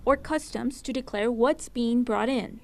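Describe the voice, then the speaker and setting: A woman's voice: fairly high pitch, unhurried. A woman television reporter reading scripted news narration.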